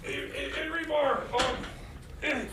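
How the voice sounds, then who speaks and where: A man's voice: very high voice, steady tone, unhurried, bright, forceful tone, slight background noise, full character voice. A man playing a hapless, frazzled time machine mechanic in comedy radio theater, stammering and whining. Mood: anxious, confused. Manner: stammering, whiny.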